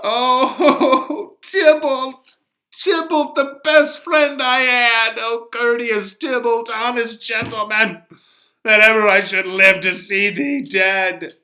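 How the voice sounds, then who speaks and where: A man voicing a distraught older nurse from a Shakespeare play, crying out in loud, drawn-out sobs. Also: very high-pitched, steady tone, heavily acted. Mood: grieving. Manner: loud, drawn-out, sobbing cries.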